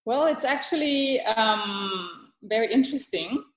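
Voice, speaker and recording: A woman from Thailand, a guest author speaking in a podcast interview, thoughtful and halting with many fillers and restarts. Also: on the high side, expressive, echoey room, low-quality recording.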